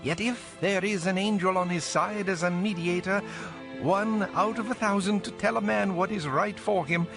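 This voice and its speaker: A man's voice: sits high, moderate pace, gravelly. An actor playing an angry, impassioned young man lecturing his elders emphatically in a dramatized audio Bible. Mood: grave warning. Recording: music behind.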